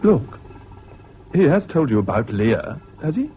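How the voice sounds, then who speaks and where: An actor with a British accent playing a weary, reserved narrator in a 1970s radio drama, speaking in a measured, reflective way. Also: male voice, fairly high pitch, sing-song. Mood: concerned. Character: weary, concerned friend and narrator, a reserved man. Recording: dull, noisy old radio recording.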